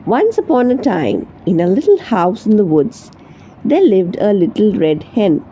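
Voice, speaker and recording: A woman reading a children's story aloud as narrator, in an expressive storytelling manner. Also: mid-range voice, measured pace, slight background noise, rough recording. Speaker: storybook reader.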